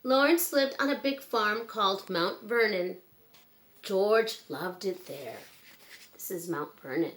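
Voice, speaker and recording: A woman reading a children's book aloud in a simple, measured storytelling manner with pauses. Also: mid-range voice, a bit bright, a little hiss or hum.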